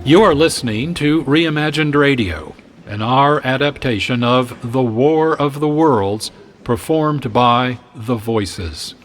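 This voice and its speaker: A man working as an announcer, giving formal, measured scripted introductions for a radio drama. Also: mid-range voice.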